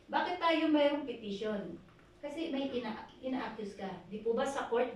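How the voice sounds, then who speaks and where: A woman pastor with a Filipino accent preaching at a prayer meeting, asking the congregation questions and reading scripture slowly. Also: medium pitch. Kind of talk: sermon exhortation at a prayer meeting. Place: church hall. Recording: echoey hall from far off, faint noise.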